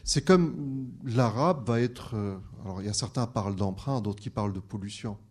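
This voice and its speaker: A man's voice: on the low side, moderate pace, darkish tone, husky. A man, a French teacher bilingual in French and Arabic, speaking on a discussion panel with frequent restarts and fillers. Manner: hesitant, many restarts.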